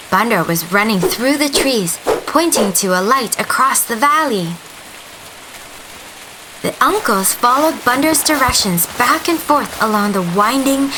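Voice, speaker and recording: A woman storyteller reading a scripted children's tale expressively, with deliberate dramatic emphasis. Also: mid-range voice, noisy background.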